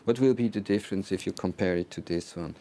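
A man in his sixties presenting technical material to a small group, phrasing deliberately with repeated words and hesitations. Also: low-pitched, warm tone. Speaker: loudspeaker designer presenting. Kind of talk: technical talk to a small audience. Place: small recording studio control room with seated audience.

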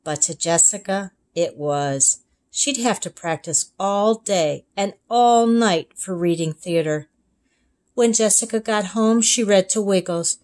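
A woman narrating a children's storybook as a kindergarten teacher, expressive, in clearly separated phrases. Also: mid-range voice, slow. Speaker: kindergarten teacher reading aloud. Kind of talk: storybook read-aloud narration.

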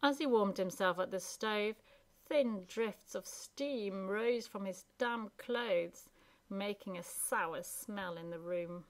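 A woman storyteller reading a storybook aloud in an expressive narrating style. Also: mid-range voice, slow pace.

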